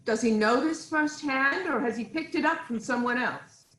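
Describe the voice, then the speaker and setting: A woman playing a commanding queen in a staged reading of Greek tragedy, declaiming in measured phrases. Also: high voice, fairly bright tone, echoey room. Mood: urgent, questioning. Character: commanding queen of Thebes, scolding yet reassuring mediator.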